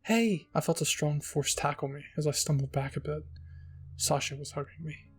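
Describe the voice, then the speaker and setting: A man's voice: fairly high pitch, monotone, a little fast, husky. A man narrating a first-person fan-fiction story as a roleplay reading. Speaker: story reader.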